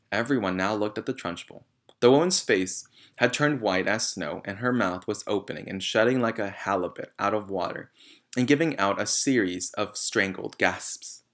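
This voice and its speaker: A man's voice: fairly low pitch, a bit bright. A man reading a children's novel aloud as narrator. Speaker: reader.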